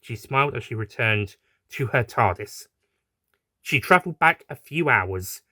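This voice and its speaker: A man's voice: medium pitch, animated, measured pace, pushed and bright, no room sound. Man narrating a story, dry close sound.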